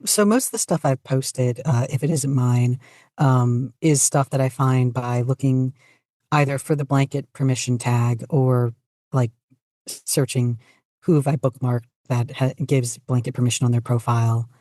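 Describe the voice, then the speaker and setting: A woman's voice: a bass voice, fairly even intonation, moderate pace, warm tone, no room sound, studio quality. A woman speaking as a podcast guest in a casual, self-deprecating, informal way. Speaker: podcast guest, fanfiction writer and podficcer. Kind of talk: casual interview chat.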